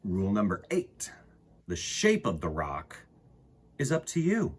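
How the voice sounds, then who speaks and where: A man with a husky voice reading aloud to children as a storytime host, expressively, with dramatic emphasis and pauses. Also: on the low side, huge pitch swings. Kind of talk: animated picture-book read-aloud for children.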